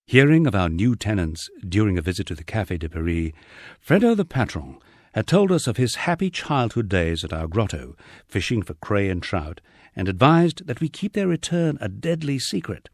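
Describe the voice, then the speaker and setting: A man narrates a humorous memoir in a fairly low voice. His intonation rises and falls freely, giving the scripted story a wry, lively shape. The sound is very dry, with no room around it. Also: dry recording. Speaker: audiobook narrator.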